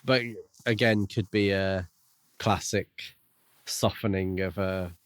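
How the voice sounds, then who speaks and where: Lowish-voiced man, measured, dry sound. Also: steady tone, dry recording. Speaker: podcast co-host. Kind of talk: casual book discussion.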